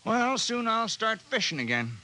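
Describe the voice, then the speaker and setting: A man's voice: sits high, big pitch swings, moderate pace, bright, forceful tone, full character voice, poor audio. A man playing a simple, good-natured village fisherman, plainspoken and rueful, in a 1950s radio drama. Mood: wistful.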